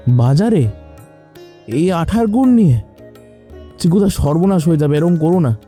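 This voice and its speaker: A man as an anxious young cartoon sidekick, high voice, mellow dull tone, pleading, light music behind. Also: lively intonation, measured pace. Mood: alarmed. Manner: worried, pleading. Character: anxious, cautious young helper of a swindler. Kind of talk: cartoon story voice acting.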